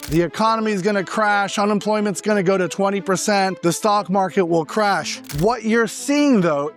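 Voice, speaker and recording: A man from Argentina speaking as a real estate agent, explaining things informally and emphatically, posing questions and answering them himself. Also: high-pitched. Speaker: real estate agent giving market advice. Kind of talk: informal explanatory monologue to camera.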